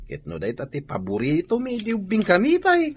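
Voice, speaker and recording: A man's voice: sits high, huge pitch swings, normal speed, slight background noise. A man acting a fussy, food-loving father in an Ilocano radio drama, speaking with an Ilocano accent. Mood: relishing.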